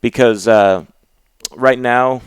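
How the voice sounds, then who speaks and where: A man's voice: mid-range voice, takes it slowly, clean tone, no room sound. A man hosting a podcast, talking casually and conversationally about sports.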